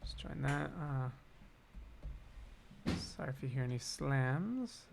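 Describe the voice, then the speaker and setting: A man's voice: medium pitch, expressive, takes it slowly, gravelly, noisy background. A man hosting a casual composing livestream, thinking aloud and chatting to viewers with self-deprecating asides. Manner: musing, self-deprecating asides, talks to chat. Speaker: music composer and streaming host. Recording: loud cello and piano music behind. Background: cello and piano music being composed.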